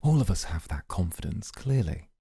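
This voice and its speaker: Man acting very deep, gravelly mafia charmer. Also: big pitch swings, normal speed, mellow, dry recording, clean studio sound, full character voice. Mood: intrigued, coy. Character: suave, flirty, calculating male mafia boss. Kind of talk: flirty ASMR roleplay acting.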